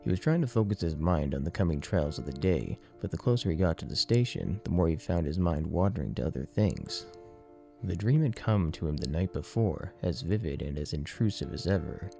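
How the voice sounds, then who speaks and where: A man narrates a story in a very low, creaky voice with a dark, mellow tone. It is scripted audiobook reading, with faint music behind him. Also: lively intonation, brisk.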